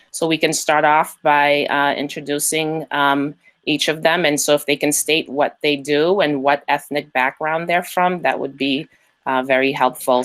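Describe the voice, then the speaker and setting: A woman's voice: sits low, fairly bright tone. A woman moderating a virtual panel on a video call, friendly, with many fillers.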